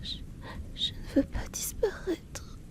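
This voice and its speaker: A woman playing a shy, self-loathing young male outcast in a hesitant, breathy whispered ASMR roleplay. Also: close to an everyday voice. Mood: hurt, pleading. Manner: hesitant, apologetic, halting.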